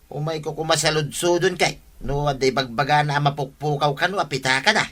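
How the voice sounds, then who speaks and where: A man's voice: a little high, a little fast, a bit bright, lightly acted. A man acting a chatty village man in a comedy radio drama, with an Ilocano accent. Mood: inquiring.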